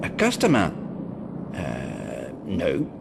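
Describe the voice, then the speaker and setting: A man's voice: huge pitch swings, creaky, heavily acted. Jittery, swooping timid male shopkeeper. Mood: nervous, evasive. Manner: hesitant, halting, evasive. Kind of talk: video game cutscene acting. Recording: game audio with rumbling background noise.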